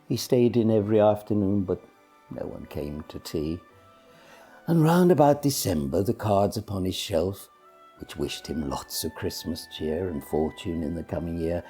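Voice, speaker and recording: Low, husky storyteller. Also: male voice, mellow. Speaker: poetry reader. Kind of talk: expressive recitation of a children's verse story. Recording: music behind the voice. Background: music.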